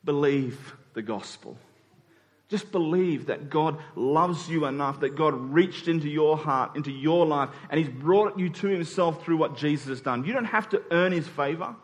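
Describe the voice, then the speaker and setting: Man, slightly high-pitched voice, earnest preaching, emphatic repetition, deliberate pauses, echoing hall. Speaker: pastor preaching to his congregation. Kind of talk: sermon. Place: church hall during a service. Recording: reverberant hall sound.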